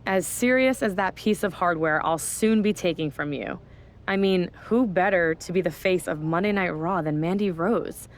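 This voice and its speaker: A woman's voice: lowish voice, moderate pace, close to an everyday voice. A woman playing a smug scheming wrestling boss. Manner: condescending, sarcastic. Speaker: wrestling general manager. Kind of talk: video game cutscene dialogue.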